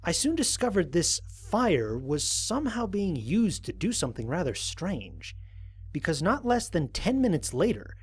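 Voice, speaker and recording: A man playing an observant, analytical space explorer, narrating formal mission logs in a measured, precise way for an audio drama. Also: a little high, breathy edge. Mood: curious, wry. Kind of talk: scripted first-person audio drama narration.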